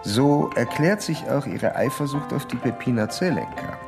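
A man's voice: medium pitch, lively intonation, creaky, over music. A man playing a self-assured, pedantic psychoanalyst in a German radio drama, speaking formally and clinically. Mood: pedantic explaining. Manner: formal, measured, interrogating.

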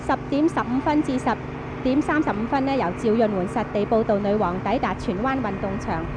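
A woman with a Hong Kong accent reading a formal, measured radio programme announcement. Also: on the high side. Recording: noisy archival radio broadcast.

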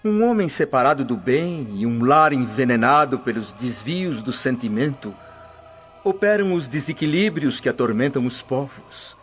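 High-voiced, solemn prophetic preacher. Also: male voice. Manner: oratorical, grave, declamatory. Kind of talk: sermon in radio drama. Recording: dull lo-fi radio drama, music behind.

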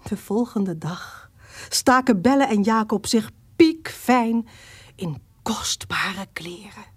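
A woman narrating a historical radio drama as an expressive storyteller, with dramatic, deliberate emphasis. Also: mid-range voice, husky, no room sound.

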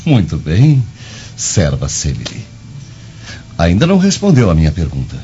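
A muffled radio play with music behind. a swaggering Roman guard captain, very deep and dark, with smug, suggestive delivery that swoops around. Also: male voice, huge pitch swings, normal speed. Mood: smug, probing. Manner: smug, insinuating. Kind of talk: radio drama acting.

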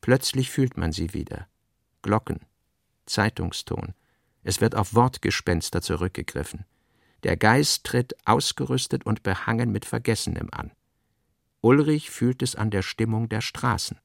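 A man giving a scripted literary narration, reading out notes and drafts in deliberate fragments with pauses. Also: on the low side, vocal fry, dry recording, studio quality. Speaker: radio drama narrator.

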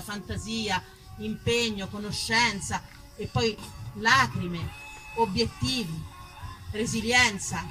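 A woman from Tuscany performing a theatrical monologue as an actress and storyteller, expressive and emphatic, dwelling on single words. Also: medium pitch, rough recording. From Tuscany, Italy. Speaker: stage actress, writer and storyteller.

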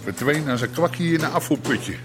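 An actor playing a blunt, coarse, middle-aged underworld boss in a radio drama, speaking. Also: male voice, a little high, animated, fast talker, music in the background, close to an everyday voice. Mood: scheming.

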